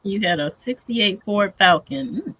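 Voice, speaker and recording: A woman's voice: medium pitch, lively intonation, slow pace, vocal fry, fairly bright tone, slightly rough, dry recording, poor audio. A woman co-hosting a casual chat, teasing and laughing a lot as she talks.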